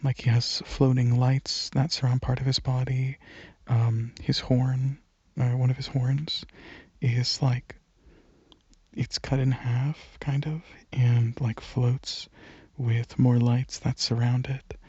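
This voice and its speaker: Soft, very breathy man, slow ASMR ramble. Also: mid-range voice, little pitch movement, no room sound, dull audio. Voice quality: soft, breathy, often near whisper. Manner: hesitant, halting, informal. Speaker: ASMR channel creator.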